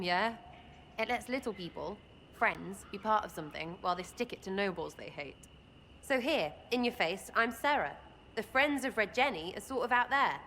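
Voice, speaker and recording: An actress playing a cheeky, irreverent lowborn woman archer who talks in crude, mocking, rambling banter. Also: pushed and bright, a little hiss or hum.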